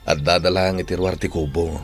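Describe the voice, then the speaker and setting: Caring big brother in a radio drama, really low voice, earnest n gentle, faint music. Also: male voice, fairly even intonation, a little fast. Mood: tender concern. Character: gentle, caring man, protective older brother.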